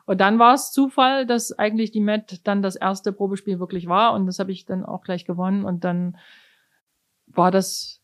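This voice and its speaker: A woman's voice: medium pitch, fairly even intonation, normal speed. A middle-aged woman from eastern Germany, a cellist being interviewed, speaking in a thoughtful way with many fillers and restarts. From East Germany. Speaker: orchestra cellist, interview guest. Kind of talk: casual conversational interview.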